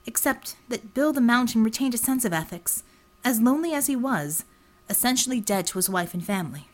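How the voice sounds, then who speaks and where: A woman's voice: a little high, animated, fairly quick. A woman reading scripted fiction as an audiobook narrator.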